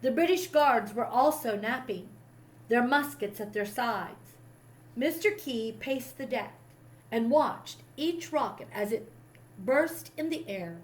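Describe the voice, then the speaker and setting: A woman with a fairly high voice reads a historical children's story aloud. She narrates expressively, as a storybook reader does for young listeners. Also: animated, takes it slowly, rough recording.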